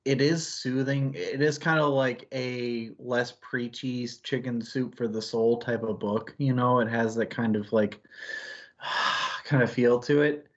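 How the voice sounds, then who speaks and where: A man's voice: mid-range voice, steady tone, a little slow, muffled recording. A man co-hosting a casual book discussion, talking in a reflective, analytical and wordy way with digressions. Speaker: co-host of a book club stream.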